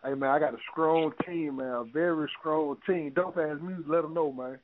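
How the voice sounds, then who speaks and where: A man's voice: fairly high pitch, normal speed, no room sound. An American man hosting an internet radio show, chatting casually in slang and often repeating his phrases. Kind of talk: casual chat. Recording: tinny phone-line internet radio.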